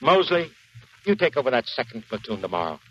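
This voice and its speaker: An actor playing a weary, grumbling drill instructor in a 1940s radio drama. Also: male voice, medium pitch, sing-song, brisk, fairly bright tone, slightly rough. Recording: dull old radio recording, music.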